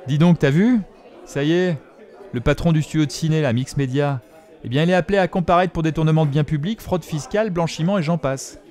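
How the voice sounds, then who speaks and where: A man's voice: a little high, brisk, darkish tone, close to an everyday voice. A man acting in an audio drama as an opinionated bar regular, commenting on the news in a colloquial, gossipy way. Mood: gossipy, scornful. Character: chatty, opinionated bar regular reading the paper aloud. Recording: busy bar chatter behind.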